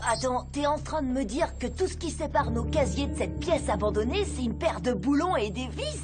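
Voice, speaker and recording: A woman's voice: high-pitched, animated, moderate pace, over music. A woman playing a confident, scheming, cheeky schoolboy hero in a cartoon dub, with a breezy, glib delivery. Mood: excited. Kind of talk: animated cartoon dubbing in French.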